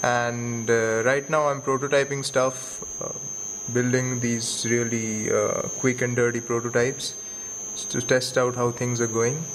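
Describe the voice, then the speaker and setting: A young man giving a casual spoken demo in an informal, halting way with pauses. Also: mid-range voice, flat delivery, a little hiss or hum, rough recording. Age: young adult. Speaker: student maker presenting a prototype. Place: indoors at a computer desk. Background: electronic sequencer music.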